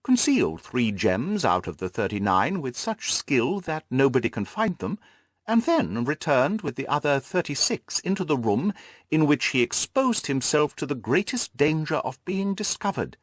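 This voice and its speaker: A man voicing an incisive, composed gentleman detective in an audiobook, questioning and reasoning with precise emphasis. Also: a little high, sing-song, moderate pace, no room sound, studio quality, voice pushed up. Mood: emphatic, reasoning. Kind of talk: audiobook character acting.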